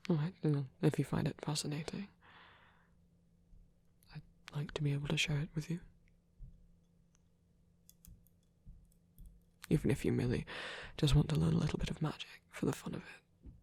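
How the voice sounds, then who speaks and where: A performer voicing a gentle, devoted male vampire priest in an intimate ASMR roleplay, speaking in a breathy, hesitant murmur with formal wording. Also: mid-range voice, fairly even intonation, brisk, no echo. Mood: warm, reassuring. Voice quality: breathy, whispery. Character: soft-spoken, tender male vampire priest, earnest and devoted. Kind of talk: intimate ASMR roleplay, scripted romantic audio drama. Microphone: close, dry microphone.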